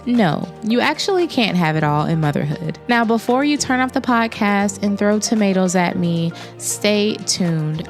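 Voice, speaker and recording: A young woman hosting a podcast, talking casually and personally with a raspy voice left over from an illness. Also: mid-range voice. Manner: chatty, personal, self-deprecating. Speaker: podcast host. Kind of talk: casual solo podcast talk.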